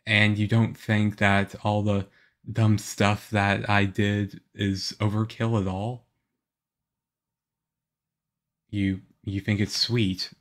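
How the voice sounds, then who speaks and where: A man playing a shy, nervous teenage-looking vampire boyfriend in an ASMR roleplay, halting and stammering awkwardly. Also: on the low side, level tone. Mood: touched, unsure. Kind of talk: ASMR audio roleplay, one-sided conversation.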